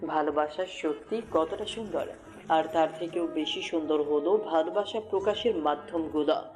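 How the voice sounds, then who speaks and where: Low-voiced woman narrating romance over music. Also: moderate pace, darkish tone. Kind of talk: scripted romantic story narration.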